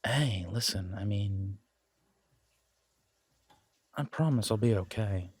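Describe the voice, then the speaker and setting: Man playing an easygoing, low-voiced werewolf boyfriend. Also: slow, slightly rough, no echo. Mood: sheepish, affectionate. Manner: casual, hesitant, rambling. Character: easygoing male werewolf biker, affectionate, bashful partner. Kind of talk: intimate roleplay audio drama, one-sided conversation.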